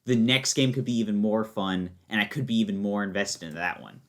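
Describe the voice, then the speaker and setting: A man's voice: fairly low pitch, a little fast. A man in a casual conversation as a podcast guest, enthusiastic and opinionated, talking in slangy everyday language. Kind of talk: casual chat about video games.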